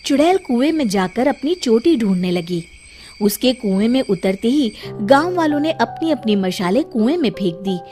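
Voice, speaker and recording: A woman's voice: a little high, animated, normal speed, dark tone. A woman narrating a scripted children's moral story as a storyteller. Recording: background music under the voice. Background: music.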